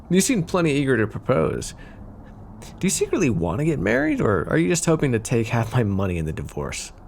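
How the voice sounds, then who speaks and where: A man's voice: mid-range voice, a little mellow, a little hiss or hum. A man playing a smug, flirty, scheming mafia boss in an ASMR roleplay, teasing and theatrical. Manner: teasing, smooth-talking, theatrical. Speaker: voice actor in audio roleplay. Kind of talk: ASMR roleplay acting, addressed to listener.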